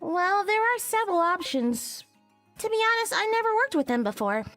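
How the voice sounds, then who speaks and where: A woman's voice: very high voice, sing-song, normal speed, a bit bright, soft background music, full character voice. A woman playing a matter-of-fact young priestess who casts spells in a visual novel game, confidently explaining things. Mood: calm explaining. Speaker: video game voice actor.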